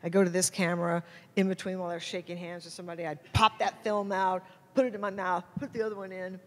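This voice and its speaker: Halting American woman in a reverberant hall. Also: lowish voice, little pitch movement, a bit bright. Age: middle-aged. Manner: halting, self-interrupting, informal. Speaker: guest photojournalist. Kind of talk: casual question-and-answer talk before an audience. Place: indoor hall at a public talk.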